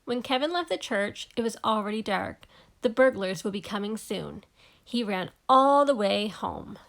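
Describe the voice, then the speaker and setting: A woman reading a children's storybook aloud in an expressive narrating style. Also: mid-range voice, moderate pace, creaky. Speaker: storybook reader.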